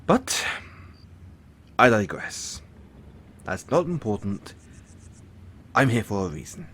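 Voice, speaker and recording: A man's voice: on the low side, very animated, takes it slowly, fairly bright tone, breathy edge, full character voice. A man playing a flamboyant, smug, vain villain in an audio roleplay, speaking in a theatrical, pompous and grandiloquent way to the listener. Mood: sheepish, rambling.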